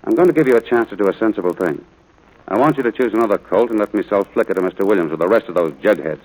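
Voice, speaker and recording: A man's voice: on the low side, racing, darkish tone, lightly acted, poor audio. A man acting a stern, practical rancher father in a 1940s radio drama, blunt and commanding. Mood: stern, insistent. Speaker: actor in a radio play. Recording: vintage 1940s radio recording, music at times.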